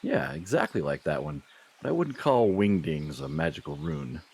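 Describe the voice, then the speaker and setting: A man with a deep, husky voice that swings widely in pitch. He delivers scripted comedy lines in a wry, sarcastic way, as in an audio skit. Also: sing-song, moderate pace, a little mellow. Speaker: podcast performer playing himself in a comedy skit. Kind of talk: comedic audio drama acting.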